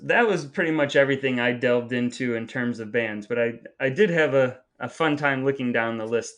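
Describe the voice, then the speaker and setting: Man, podcast co-host, mid-pitched voice, casual humorous chat, wry and mock-serious.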